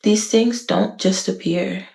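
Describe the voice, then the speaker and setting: A young woman from the Bahamas, talking to camera in a thoughtful, candid, measured way. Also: medium pitch, vocal fry, a little mellow, reverberant, low-quality recording. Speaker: vlogger. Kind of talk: reflective talk to camera.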